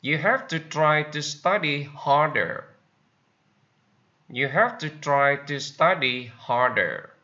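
A Vietnamese-accented man teaching English words, saying each sentence slowly and more than once. His voice is bright and swings up and down in pitch. The recording sounds dull and lo-fi. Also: animated. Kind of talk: slow vocabulary lesson with repetition.

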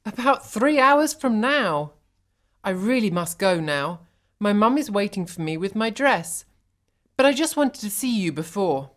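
A woman playing an excited, affectionate young bride-to-be in an audiobook drama. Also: medium pitch, unhurried. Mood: excited, fond.

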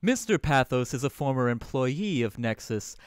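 Casting: a man as an exacting lawyer for audio drama, formal, measured, mid-pitched, very dry sound. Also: lively intonation, clean tone, no room sound, lightly acted. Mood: probing. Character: composed, exacting male courtroom lawyer.

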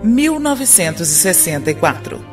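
A woman narrating a radio drama in a steady, informative way. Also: on the low side, moderate pace, over music.

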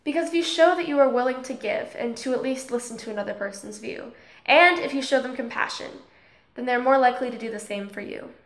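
A woman acting as an earnest student girl in an audio drama. Her voice is fairly high, and she explains her principles in a measured, slightly preachy way. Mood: calm, earnest. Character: earnest, tolerant, principled transgender girl student named Holly. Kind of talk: scripted audio drama dialogue, speech-like monologue.

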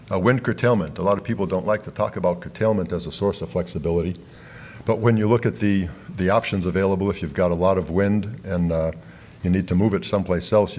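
A middle-aged man giving a keynote talk at a lectern in a large hall, speaking in a measured, explanatory way with fillers and restarts. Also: sits low, darkish tone, a little hiss or hum. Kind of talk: conference keynote lecture.

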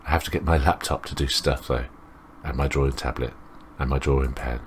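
An extremely deep male voice narrates a visual novel for a let's play. He rambles and breaks off to comment on what he reads, over faint noise of clicks and typing. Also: steady tone, a little fast. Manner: rambling, self-interrupting. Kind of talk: reading a visual novel aloud with off-the-cuff commentary.

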